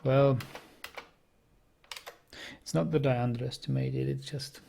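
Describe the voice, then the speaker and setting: A man with a gravelly, creaky voice and a Czech accent talks through a game for his stream, reading and answering chat in a relaxed way. His pitch sits in the middle, and he often restarts and repeats words as he thinks aloud. Faint keyboard typing and clicks sit behind him. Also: measured pace, slight background noise. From Czech Republic. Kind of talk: casual gameplay commentary, reading chat.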